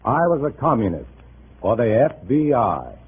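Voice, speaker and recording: A man's voice: medium pitch, big pitch swings, moderate pace. Tinny vintage radio announcer, man. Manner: emphatic, portentous. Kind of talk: dramatic 1950s radio announcing. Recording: tinny old radio recording with music.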